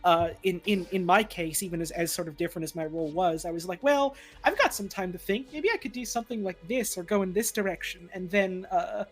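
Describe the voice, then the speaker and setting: A fandub performer chatting casually on a livestream in a halting, rambling way, animatedly quoting their own thoughts. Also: medium pitch. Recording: music playing behind the voice. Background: background music.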